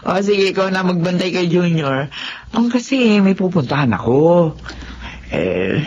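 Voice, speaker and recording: A man's voice: sits high, very animated, measured pace, darkish tone. A man playing a chatty, breezy older caretaker in a Filipino radio drama, exclaiming and casually bossy. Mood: breezy, pushy. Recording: muffled, faintly noisy audio.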